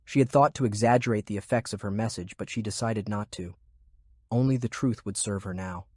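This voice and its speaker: A man's voice: fairly low pitch, fairly quick, a little mellow, dry recording, clean studio sound. A man reading scripted narration of military fantasy fiction as an audiobook narrator.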